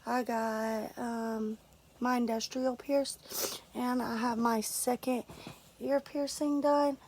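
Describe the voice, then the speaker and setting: A woman's voice: on the high side, steady tone, slow pace, darkish tone, a little hiss or hum, rough recording. A young woman, congested and stuffy, casually talking through an unboxing with lots of fillers and restarts. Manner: rambling, many fillers and restarts. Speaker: vlogger.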